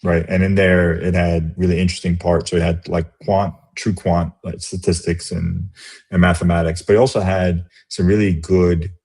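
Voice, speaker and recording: A middle-aged man, born in Romania and raised in the US, speaking as an interview guest in a reflective, halting way with many restarts. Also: deep voice, level tone, a little mellow, husky. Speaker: guest, business executive. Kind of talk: casual interview conversation.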